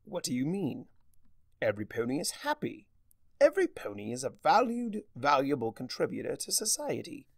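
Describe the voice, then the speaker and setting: A man's voice: mid-range voice, wildly swooping pitch, moderate pace, gravelly, no room sound, studio quality, full character voice. A man voicing a gleefully mad, theatrical spirit of chaos who lectures in a smug, mock-cheerful way, in a story reading. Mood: gleeful lecturing.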